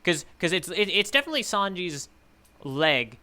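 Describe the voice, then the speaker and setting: Man, bright crisp voice, podcast co-host, casual comedic chat, thinking aloud. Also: high voice, sing-song, moderate pace. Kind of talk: casual comedic manga discussion.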